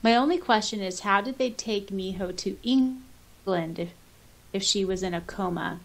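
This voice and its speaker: A woman's voice: mid-range voice, normal speed. A woman co-hosting a podcast, reading out listener comments and chatting casually with a giggly, conversational manner. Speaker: podcast co-host.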